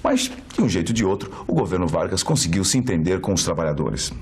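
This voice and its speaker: A man's voice: low voice, animated, fast, slightly rough. A man with a Brazilian accent delivering scripted narration for a history documentary.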